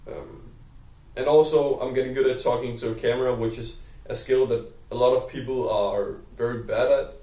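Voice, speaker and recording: A young man with a Danish accent talks unscripted to a camera, rambling and filling every pause with "like" while he corrects himself. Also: medium pitch, steady tone, warm tone, far from the mic. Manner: rambling, heavy use of "like", self-correcting. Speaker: vlogger. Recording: echoey room, voice off-mic.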